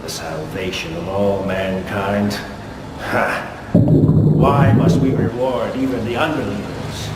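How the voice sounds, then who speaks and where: An actor playing a bitter, sinister older cult fanatic, speaking deliberately and ominously in a dramatic video game style. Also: male voice, lowish voice, steady tone, heavy background noise. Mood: smug, fanatical.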